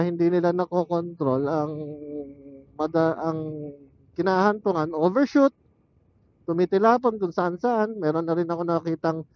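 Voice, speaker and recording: A man with a fairly high voice talks casually as he rides, in the manner of a motovlogger thinking aloud. The sound is dull and close, with no room echo, as picked up by a helmet intercom mic. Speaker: motorcycle vlogger. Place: outdoors, riding a motorcycle on mountain roads. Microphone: helmet intercom headset used as external mic. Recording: muffled helmet intercom microphone.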